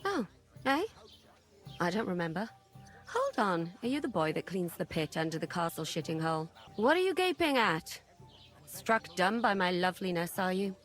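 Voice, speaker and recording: An actress playing a bold young castle barmaid who teases and mocks. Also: female voice, fairly low pitch, very animated, moderate pace, faint music behind. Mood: mocking. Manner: teasing, mocking.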